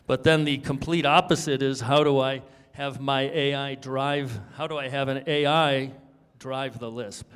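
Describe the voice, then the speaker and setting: A man's voice: medium pitch, steady tone, measured pace, a bit bright, clean tone, in a large hall. A man on a panel, explaining his views and thinking aloud as he goes. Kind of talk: panel discussion.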